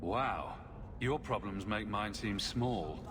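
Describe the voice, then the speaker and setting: An actor playing a young, dry-witted fantasy adventurer hero in a video game, wry and flippant. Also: male voice, on the low side, slow pace, background noise. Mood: skeptical, curious. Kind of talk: video game character acting.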